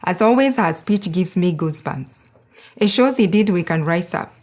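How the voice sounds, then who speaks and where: A woman's voice: lowish voice, animated, normal speed, a little mellow. A woman from Kenya, in her thirties, giving an earnest, heartfelt speech as a board member at a charity gala.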